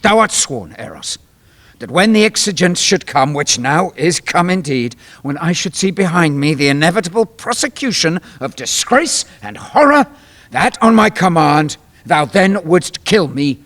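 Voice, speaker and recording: A male stage actor as a proud Roman general, ruined and resolved to die. He declaims classical verse with grave command. His fairly high voice rises and plunges dramatically. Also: sing-song, moderate pace, fairly bright tone. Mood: urgent, persuasive. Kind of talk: classical Shakespearean stage acting.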